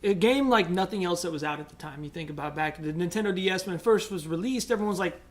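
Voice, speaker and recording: A podcast co-host in casual discussion, with a medium-pitched voice. The delivery is conversational and emphatic, with key words repeated for stress. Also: quick pace, slight background noise.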